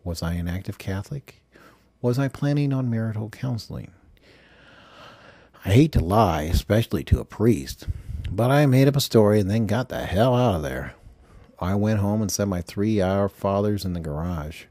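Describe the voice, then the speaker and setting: Man acting bitter middle-aged agent, low mellow voice. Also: lively intonation, no room sound. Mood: confessional. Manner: sardonic, deliberate ranting. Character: bitter, self-pitying middle-aged male FBI agent, dictating confessions. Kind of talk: dramatized audiobook monologue.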